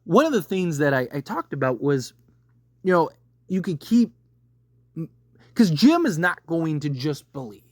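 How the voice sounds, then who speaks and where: A man's voice: a little high, big pitch swings, measured pace, long pauses, dry recording, studio quality. A man giving casual spoken commentary as a video creator, emphatic and often rephrasing his points to stress them. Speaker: video creator reviewing a TV series.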